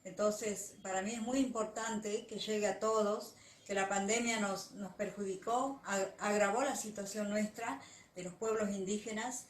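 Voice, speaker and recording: A woman from Argentina makes an earnest, halting testimonial appeal for her community. She is heard from a distance in a reverberant space with faint background noise. Her voice sits at a middle pitch. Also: fairly even intonation.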